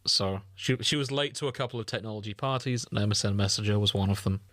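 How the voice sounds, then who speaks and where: A man's voice: on the low side, fast, a bit bright, no room sound. A man co-hosting a casual podcast, chatting in a jokey, irreverent and sweary way. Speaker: podcast co-host. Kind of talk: casual banter.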